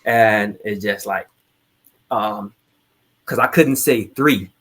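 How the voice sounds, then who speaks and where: A man's voice: on the low side, slow pace, fairly bright tone. A man from Trinidad, telling personal stories candidly and informally as a podcast guest. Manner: candid, informal, swears casually. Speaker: podcast guest, military veteran. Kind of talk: casual conversational storytelling.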